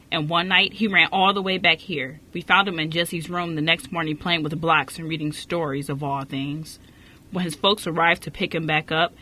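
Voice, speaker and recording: A woman's voice: low voice, pushed and bright. A woman playing a stern, disapproving orphanage director in an amateur radio play, formal and blunt.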